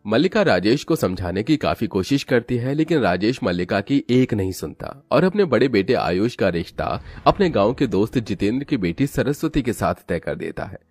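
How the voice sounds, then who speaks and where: Low, husky male story narrator. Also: big pitch swings, normal speed, few pauses, darkish tone, no echo. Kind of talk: scripted cartoon story narration.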